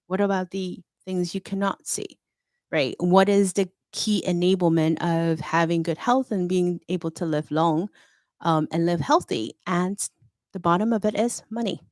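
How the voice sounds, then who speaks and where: A woman's voice: fairly low pitch, darkish tone, dry recording, clean studio sound. A middle-aged woman from Hong Kong, a fintech consultant, speaking as an interview guest in a confident, candid, storytelling way. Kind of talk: interview conversation.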